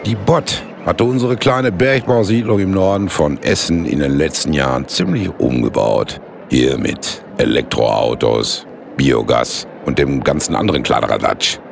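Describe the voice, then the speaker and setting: An actor narrating a radio play as a wry, blunt working-class buddy with a gravelly voice and slangy way of talking. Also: male voice, extremely low pitch, measured pace, slight background noise, heavily acted. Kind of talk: colloquial radio drama narration.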